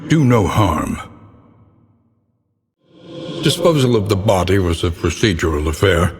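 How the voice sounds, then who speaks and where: An actor playing a grave, ominous narrator of gothic horror tales in a video game, with a solemn, theatrical and deliberate delivery. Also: male voice, low-pitched, animated, slightly rough, exaggerated voice. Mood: grave, macabre. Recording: long cavernous reverb, noticeable background noise.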